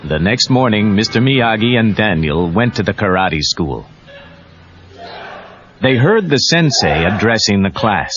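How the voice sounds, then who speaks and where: A man's voice: on the low side, big pitch swings, unhurried. A man narrating a read-along story in a scripted storytelling style. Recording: noisy lo-fi cassette, crowd behind.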